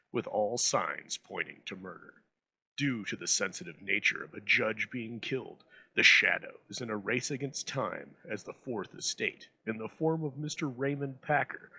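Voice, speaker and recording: A man delivers scripted, portentous narration. His lowish voice is gravelly and raspy, with deliberate dramatic phrasing. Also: pushed and bright, low-quality recording. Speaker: presenter.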